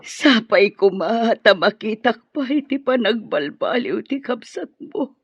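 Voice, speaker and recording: A breathy, slow-spoken Filipino mother, weighed down with worry and sighing as she laments. It is radio drama in a muffled, roomy recording. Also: on the high side, expressive, full character voice. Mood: anxious, sorrowful. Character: worried, sorrowful, pious Filipino mother of a wayward son. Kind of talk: Ilocano radio drama acting.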